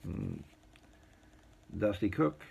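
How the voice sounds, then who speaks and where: A man casually showing a collection on an unscripted video, reading items out in short, halting phrases with muttered asides. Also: on the low side, lively intonation, vocal fry, a little mellow, husky. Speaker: collector showing vintage baseball cards. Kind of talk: casual unscripted show-and-tell, reading out cards.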